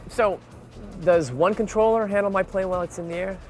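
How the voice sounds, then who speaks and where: A man's voice: sits high, brisk, a little mellow, soft background music. A man hosting an educational TV segment, moving between scripted narration and casual interview questions. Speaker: TV host and interviewer.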